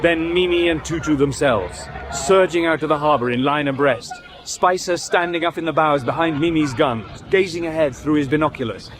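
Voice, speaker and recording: A man's voice: a little high, normal speed, few pauses, noisy background, lightly acted. A man playing an eyewitness narrator describing action in a scripted radio drama. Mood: absorbed, vivid. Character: absorbed eyewitness narrator of a naval battle.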